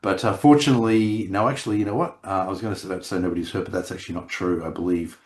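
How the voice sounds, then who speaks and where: A man co-hosting a casual chat podcast over a video call, his voice croaky from laryngitis, rambling with many restarts. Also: low-pitched, steady tone, racing, darkish tone. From Australia. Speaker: podcast co-host.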